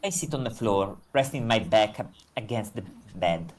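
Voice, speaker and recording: Visual novel narrator played by a woman: dry, exasperated roommate, very low, swooping delivery. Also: expressive, moderate pace, noisy background. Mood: narrating, dry.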